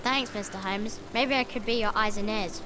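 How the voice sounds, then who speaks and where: A woman's voice: medium pitch, normal speed, background noise, close to an everyday voice. A woman voicing a cheeky, boastful young newspaper seller, a streetwise child in a video game cutscene. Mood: chatty. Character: cheeky, money-minded young street newsboy, a bright child.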